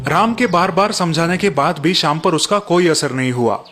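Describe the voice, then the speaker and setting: A man reading scripted storybook narration in a measured storytelling manner. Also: on the high side, fairly bright tone, a little hiss or hum.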